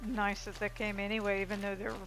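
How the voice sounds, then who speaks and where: A woman with a mid-pitched voice hosts a let's play. Her commentary is casual, informal and easygoing, with clear game music underneath. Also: completely flat delivery, fast, a bit bright, low-quality recording. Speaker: gamer, let's play host.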